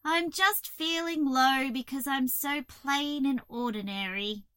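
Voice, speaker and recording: Woman putting on a forlorn, bright-voiced storybook cockroach. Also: sits high, slow pace, no echo, heavily acted. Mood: downhearted. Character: forlorn male cockroach, insecure and downhearted.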